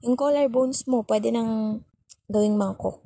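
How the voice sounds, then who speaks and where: Woman acting as nagging, mellow-voiced Filipino girlfriend. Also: on the high side, a little slow, no room sound, studio quality. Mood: nagging, teasing. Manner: scolding, sulky, teasing, repeats herself for emphasis. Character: nagging, worried, overthinking young girlfriend, bossy but caring. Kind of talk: intimate ASMR girlfriend roleplay.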